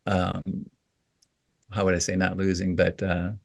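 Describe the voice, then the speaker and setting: A man in his fifties, a guest in an interview, talking reflectively with a deep voice, often pausing and restarting with "you know". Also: monotone, no room sound. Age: 50s. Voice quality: deep, gravelly voice. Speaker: guest, writing coach.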